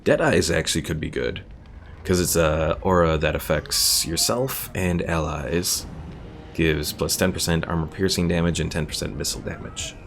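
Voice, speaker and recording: Deep, gravelly male game streamer. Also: normal speed, slight background noise. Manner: thinks aloud, reads game text out. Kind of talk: casual game commentary. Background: game music.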